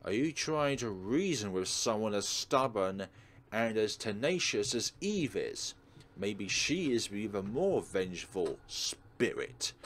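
A man's voice: fairly low pitch, very animated, takes it slowly, a bit bright, a little hiss or hum. A man hosting a let's play, reading a visual novel aloud dramatically with casual commentary. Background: game music.

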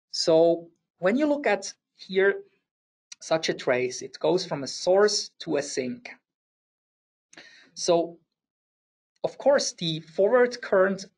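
A man with a Swiss German accent presenting a technical engineering webinar, explaining step by step with occasional fillers. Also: on the high side. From Switzerland. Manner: explanatory, slightly halting with fillers and restarts.

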